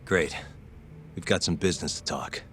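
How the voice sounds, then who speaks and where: A man's voice: low-pitched, steady tone, moderate pace, a bit bright, soft background music. A man acting as a laid-back, dry-witted private detective in a video game, talking casually in few words. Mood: dry, relaxed. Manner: casual, laconic, understated. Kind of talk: English game dub dialogue.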